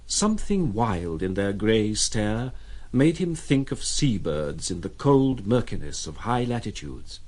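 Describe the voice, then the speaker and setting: An actor as a reflective narrator of a literary audio drama, measured and expressive. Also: male voice, lowish voice. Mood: wistful.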